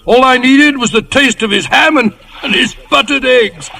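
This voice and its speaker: An actor playing an excitable, gullible country squire with a hearty, blustering, stammering manner in a radio drama. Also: male voice, very high-pitched, normal speed, vocal fry, a little hiss or hum, full character voice. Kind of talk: old-time radio drama acting.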